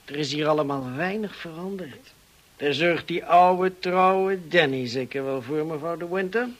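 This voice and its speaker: Drawling, high-voiced young rake. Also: male voice, measured pace, a bit bright. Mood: breezy, familiar. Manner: familiar, teasing, drawling. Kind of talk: radio drama acting.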